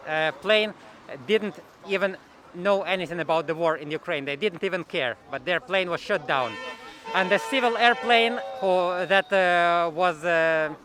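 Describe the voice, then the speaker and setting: Bright, high-voiced Eastern European man. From former Soviet Union. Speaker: rally participant giving an opinion. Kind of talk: street interview, unscripted. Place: outdoors at a city rally in Canada.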